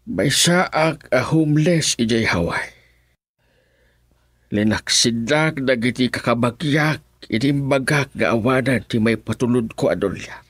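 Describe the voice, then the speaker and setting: A man's voice: medium pitch, unhurried, no room sound, studio quality, natural voice. An actor playing an earnest homeless man seeking his kin in an Ilocano radio drama, with an Ilocano Filipino accent and a confiding manner. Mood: sorrowful pleading.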